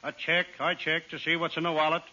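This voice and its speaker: An actor playing a wisecracking hard-boiled private eye, delivering comic lines deadpan in 1940s radio style. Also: male voice, a little high, brisk. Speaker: comic detective narrator. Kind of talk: 1940s radio comedy acting. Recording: dull old radio transcription with hiss.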